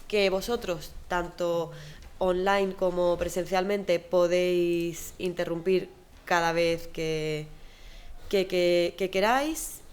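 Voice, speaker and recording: A woman's voice: lowish voice, fairly even intonation, slow, smooth. A woman from moderating a panel discussion, speaking hesitantly with many fillers.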